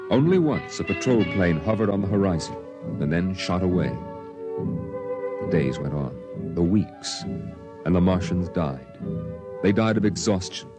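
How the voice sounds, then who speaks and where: A man narrating in a measured, somber way as the grown boy recalls the story, in 1950s radio drama style, from an old broadcast with music beneath. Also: low-pitched.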